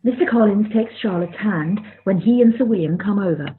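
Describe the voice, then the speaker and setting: A woman's voice: medium pitch, normal speed, slight background noise, natural voice. A woman narrating scripted stage directions for a radio play. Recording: thin phone-like sound.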